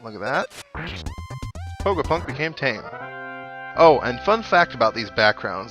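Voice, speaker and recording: A man's voice: medium pitch, sharp tone. A man giving casual, wry let's play commentary on a video game, thinking aloud with dry humour. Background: video game music.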